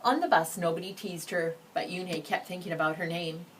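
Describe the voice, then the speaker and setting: Lady reading a kids book out loud, expressive, bit lowish voice, mic kinda far away. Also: a little fast. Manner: expressive, acts out the dialogue. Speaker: story reader. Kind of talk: read-aloud of a children's picture book with brief asides. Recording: distant microphone, background noise.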